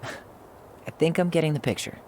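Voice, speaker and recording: A man playing a friendly, gently curious young visitor in a video game, with natural, everyday delivery. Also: fairly high pitch, normal speed, close to an everyday voice. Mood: friendly, tentative. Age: young adult. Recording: faint outdoor ambient noise behind.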